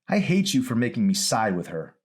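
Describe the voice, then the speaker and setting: Wounded teenage girl, played by a male reader: raised pitch, emphatic, accusing, audiobook drama. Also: very animated, a little fast, slight background noise, natural voice. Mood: bitter. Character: angry, wounded teenage daughter confronting her father.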